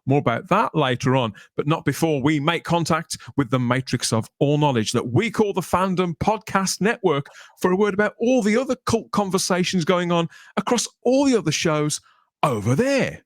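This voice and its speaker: A man with a fairly high voice presents a podcast introduction in a chatty, promotional way with florid phrasing. The recording is dry and close, with no room sound. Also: lively intonation, moderate pace, dry recording. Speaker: podcast host. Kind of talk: scripted show introduction.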